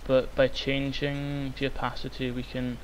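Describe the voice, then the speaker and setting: A young man, hoarse and croaky from illness, rambling with many ums through a software tutorial. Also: mid-range voice, monotone, a little slow, poor audio. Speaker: tutorial maker. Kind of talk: screen-recorded software tutorial. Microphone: cheap microphone. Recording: faint hiss, cheap mic.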